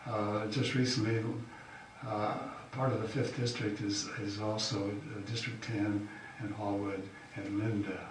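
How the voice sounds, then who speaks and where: An older man, an incumbent county official, giving a halting, folksy campaign speech full of fillers. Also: on the low side, level tone. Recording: echoey hall from far off, faint hiss.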